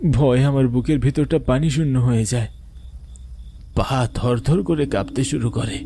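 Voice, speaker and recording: A man's voice: mid-range voice, unhurried, background noise. Man narrating a horror tale over crickets. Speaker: storyteller and narrator. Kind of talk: dramatic first-person horror story narration. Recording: night crickets and insects behind.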